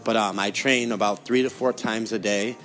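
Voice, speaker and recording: A man's voice: fairly low pitch, steady tone, moderate pace, a bit bright, soft background music. A young adult man answering interview questions in a casual, conversational way as a guest. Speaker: interview guest, professional fighter.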